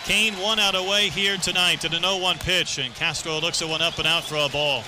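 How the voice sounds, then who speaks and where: A man's voice: a little high, steady tone, fairly quick. A man calling live baseball play-by-play as an announcer from a stadium booth. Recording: noisy ballpark broadcast, loud crowd. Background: roaring stadium crowd.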